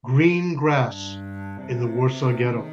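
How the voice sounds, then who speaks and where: A man's voice: mid-range voice, echoey room, lightly acted. Man acting a solemn husband narrating, muffled, over music. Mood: solemn, reverent. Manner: measured, solemn, poetic delivery. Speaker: community theater actor. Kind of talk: dramatic stage narration.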